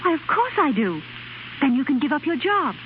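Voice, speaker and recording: A woman's voice: sits high, big pitch swings, fairly quick. A woman acting a determined, eager young wife in a 1930s radio drama. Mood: eager, persuasive. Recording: tinny, hissy 1930s radio recording.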